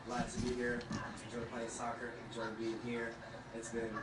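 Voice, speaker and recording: A man from Iraq, a police recruit, speaking earnestly and quickly with frequent restarts in an interview clip. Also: medium pitch, completely flat delivery. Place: outdoor community soccer event. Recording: echoey, distant mic with loud noise.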